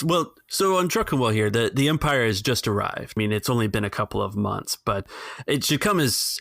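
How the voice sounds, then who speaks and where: A man's voice: fairly low pitch, a bit bright, no room sound, studio quality. A man narrating a tabletop game as game master, talking in a hesitant, rambling way full of fillers.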